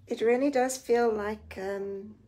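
Slightly croaky woman livestreaming. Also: on the high side, steady tone, slow, dark tone. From South Africa. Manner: chatty, greets viewers by name, laughs often. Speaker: Bible journaling host. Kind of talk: live stream chat. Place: indoors at a craft table. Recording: distant mic, faint room noise.

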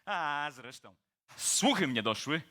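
An actor as a jovial Parisian charmer, unhurried and swooping. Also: male voice, a little high, animated, sharp tone, full character voice. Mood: amused teasing. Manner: colloquial, teasing, chuckling, drawn-out theatrical phrasing. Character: jovial, cheeky Parisian man about town, laid-back charmer. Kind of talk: operetta radio play acting.

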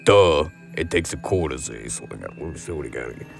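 An actor playing a cocky, ambitious mob associate in a crime audio drama, brash as a wiseguy. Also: male voice, very deep voice, lively intonation, brisk, creaky, music in the background, heavily acted. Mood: muttering. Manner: brash, foul-mouthed wiseguy.

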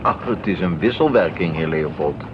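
An actor playing a modest, courteous baroque composer in a Dutch radio drama, measured and formal, on a muffled, noisy recording. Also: male voice, deep voice, expressive. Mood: modest, content.

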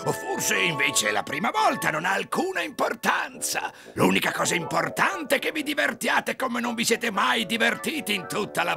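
Cartoon toad gatekeeper: greedy, bombastic showman, creaky voice leaping all over the scale. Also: extremely high pitch, heavily acted. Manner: bombastic showman with wild swings from gushing to scolding. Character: theatrical, pompous, greedy male toad, monk guardian of an amusement park. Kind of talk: animated film dub. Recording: music under the voice.

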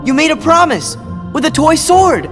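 A man's voice: extremely high pitch, big pitch swings, normal speed, in a large hall. A young actor voicing a stubborn teenage boy hero who talks defensively and impatiently. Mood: accusing. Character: spiky-haired teen boy hero, stubborn and headstrong. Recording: game cutscene audio with music.

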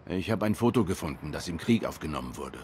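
Hardboiled cat detective, low-pitched voice, brooding, restrained, measured, noir video game. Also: male voice, lively intonation, a little mellow, a little hiss or hum. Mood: sober, probing. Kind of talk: noir game dialogue acting.

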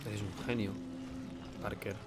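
A man's voice: lowish voice, flat delivery, takes it slowly, heavy background noise. A man with an accent from Spain giving casual, sarcastic gameplay commentary as a streamer. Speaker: video game streamer.

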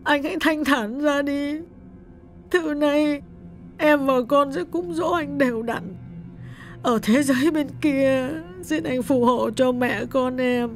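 A man voicing a grieving young widow, pleading through tears in a high falsetto. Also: steady tone, slow, faint music behind, heavily acted. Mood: sobbing, pleading. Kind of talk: audiobook narration.

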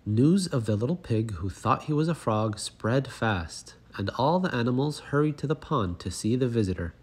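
A man narrating a children's picture book as a read-aloud, in an expressive storytelling manner. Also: mid-range voice, normal speed, husky.

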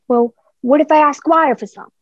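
An eager young cabin boy played by a woman with a high, trusting voice. It is a radio play performance on a dry, slightly dull home recording. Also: normal speed, no echo, close to an everyday voice. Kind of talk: amateur radio play acting.

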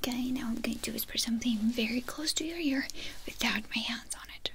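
A young woman performing an ASMR medical exam roleplay as a doctor, giving short, gentle instructions in a soft whisper, very close to the mic. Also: on the high side, brisk, background noise. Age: young adult. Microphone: close ASMR microphones.

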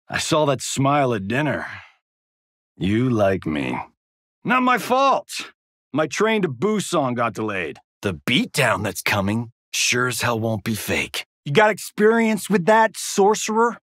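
A man's voice: medium pitch, fairly bright tone, no echo, clean studio sound. An actor playing a cocky, wisecracking male Hollywood action star in a fighting video game, with a smug, flippant, showboating delivery.

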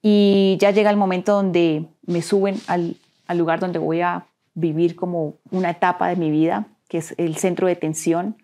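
A young adult woman from Colombia with a Colombian Spanish accent, telling her personal story as an interview guest in an earnest, halting way, repeating words and dropping to a near-whisper at heavy moments. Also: fairly low pitch, little pitch movement, mellow.

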